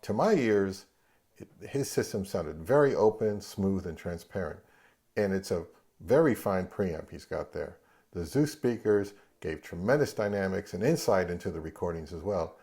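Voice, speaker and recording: A man's voice: low voice, lively intonation, normal speed, dark tone. A man speaking as the host of an audio review, interviewing and narrating.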